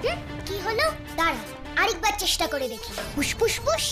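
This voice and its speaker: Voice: extremely high pitch, very animated, brisk. A performer voicing a plucky, caring child ghost in a children's cartoon, eager and exclamatory. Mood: determined, reassuring. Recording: children's music behind.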